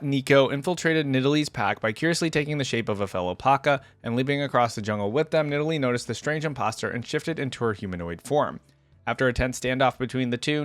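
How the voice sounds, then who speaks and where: Fast-talking man, dry close sound. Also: mid-range voice, no echo. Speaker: reaction video host. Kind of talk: reading wiki lore aloud with casual commentary.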